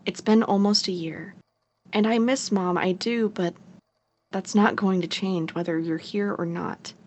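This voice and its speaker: An actress playing a teasing, caring young sister in a naturalistic audio drama. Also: female voice, mid-range voice, fairly even intonation, a little slow, a little mellow, natural voice. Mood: gentle, earnest. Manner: playful, teasing, sarcastic.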